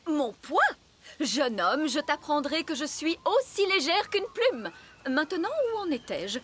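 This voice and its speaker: Cartoon fairy nanny: haughty, proper, high register, swooping intonation, bossy lecturing tone. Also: female voice, sing-song, a little slow, fairly bright tone, heavily acted. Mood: proud, brisk. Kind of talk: animated children's cartoon dub.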